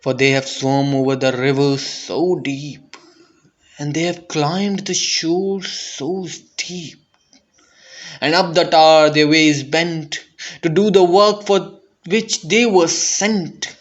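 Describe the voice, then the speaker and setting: A man with a fairly high voice recites narrative poetry very deliberately. His delivery is theatrical and intense, at a slow pace, on a slightly dull recording. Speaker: poetry reader.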